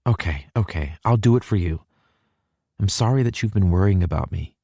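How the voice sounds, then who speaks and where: A man's voice: sits low, animated, moderate pace, dark tone, breathy edge, no echo, studio quality, exaggerated voice. A man voicing a nervous, flustered young woman. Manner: anxious, flustered.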